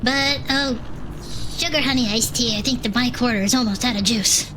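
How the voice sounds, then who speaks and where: A woman's voice: on the high side, normal speed, background noise, lightly acted. A woman playing a big, reassuring and wry turtle adventurer in an audio drama. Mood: sympathetic, wry. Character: big male turtle adventurer, soothing, exasperated best friend. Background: loud music.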